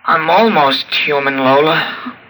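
Harsh, piercingly bright childlike robot. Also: male voice, on the high side, slow pace, poor audio. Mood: cold, matter-of-fact. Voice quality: harsh, mechanical drone. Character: childlike male robot, lonely, naive, coldly menacing. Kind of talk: old-time radio drama acting. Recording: old radio drama recording.

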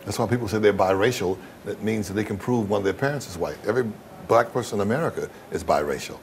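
A man's voice: lowish voice, fast talker, a little hiss or hum. A man giving blunt, emphatic answers in a candid interview, repeating phrases for stress.

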